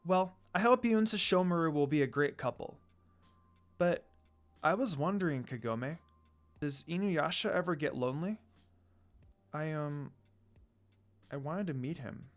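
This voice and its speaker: A man voicing a kind young female wolf-demon princess in a gentle, soft manner for a story reading. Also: fairly high pitch, lively intonation, unhurried, pauses often. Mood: curious, shy.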